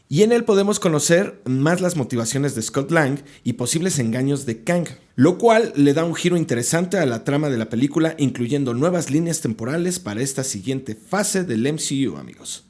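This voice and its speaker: A man's voice: a little high, animated, normal speed, a little mellow. A Mexican man reading scripted news briefs informally as a podcast host.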